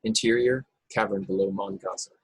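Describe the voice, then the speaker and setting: A man with a husky voice reading stage directions as narrator of a script table read over a video call. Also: on the low side, fairly even intonation, fairly quick, no echo, poor audio. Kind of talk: scripted narration with occasional stumbles.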